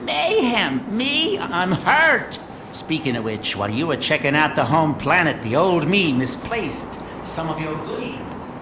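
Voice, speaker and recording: Mischievous game imp, cartoonish, teasing, wildly swooping pitch, echoey, very noisy background. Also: male voice, sing-song, measured pace. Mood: gleeful, teasing. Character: mischievous male imp from another dimension, taunting, gleeful. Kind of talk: video game voice acting. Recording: echoey, very loud ambient noise.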